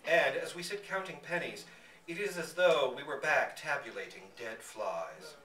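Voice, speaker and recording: Male narrator for live story reading: bright, highly animated, theatrical phrasing, picked up from a distance in a reverberant room. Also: normal speed. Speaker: reader at a live literary reading. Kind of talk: expressive scripted story narration. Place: bookstore public reading. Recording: echoey room, distant mic, faint background noise.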